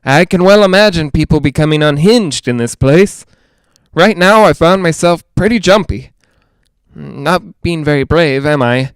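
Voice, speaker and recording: A male actor playing a nervous, lonely broadcaster in a 1940s-style radio drama, rambling and halting as he tries to reassure himself. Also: on the high side, animated, smooth, dry recording. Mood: nervous, uneasy. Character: jittery, lonely man broadcasting alone from haunted house.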